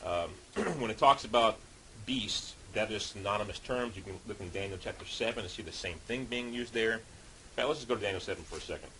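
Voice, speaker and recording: A man's voice: fairly low pitch, fairly quick, bright, forceful tone, low-quality recording. A man preaching as a street preacher, reading Bible passages and explaining them in an informal teaching way. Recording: hissy background noise.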